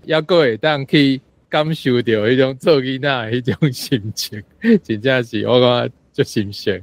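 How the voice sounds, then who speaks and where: A man's voice: medium pitch, normal speed. A man with a Taiwanese accent casually sharing his response to a book, chuckling as he talks and sounding appreciative. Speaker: reading-club panelist.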